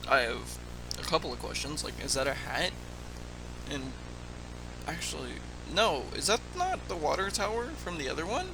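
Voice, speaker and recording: A man's voice: a little high, sing-song, moderate pace, creaky, background noise. A man giving casual, off-the-cuff reaction commentary as a host, musing and questioning aloud as he goes.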